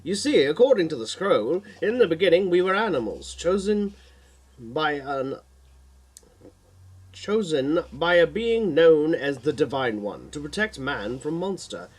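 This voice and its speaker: Man acting an earnest, expressive armored guardian. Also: fairly high pitch, slightly rough, exaggerated voice. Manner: explanatory, urgent. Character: earnest knowledgeable male guardian hero in silver armor.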